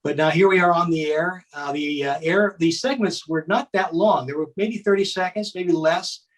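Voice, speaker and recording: Older man with a higher-pitched voice, reminiscing. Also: normal speed. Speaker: guest, former child contestant. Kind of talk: casual reminiscing.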